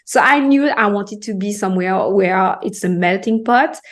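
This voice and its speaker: A woman from Martinique with a French Caribbean accent, a podcast guest talking in a halting way, with many fillers and restarts. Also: medium pitch. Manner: halting, hesitant speech with frequent fillers and restarts. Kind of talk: casual interview conversation.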